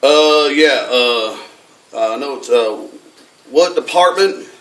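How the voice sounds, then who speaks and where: A man's voice: mid-range voice, expressive, slow pace, fairly bright tone. A man putting on a folksy, rambling redneck with a hesitant drawl for a prank phone call. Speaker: prank caller. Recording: echoey room from far off, noisy.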